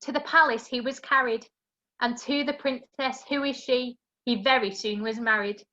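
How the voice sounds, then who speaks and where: Woman panto narrator, high bright voice, reading rhymes, kinda dull lo-fi call sound. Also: steady tone, moderate pace. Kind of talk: scripted rhyming narration. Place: video call. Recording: dull lo-fi video call audio.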